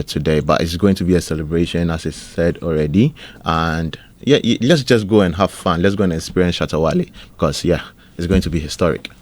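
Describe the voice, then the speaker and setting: A man, co-hosting a radio show in casual banter, hesitant with many pauses. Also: low-pitched. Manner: hesitant, many ums, rises sharply when teased. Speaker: radio co-host.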